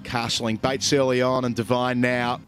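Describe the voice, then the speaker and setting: A man's voice: mid-range voice, level tone, moderate pace, a bit bright, faint music behind. A man giving live cricket commentary on a match.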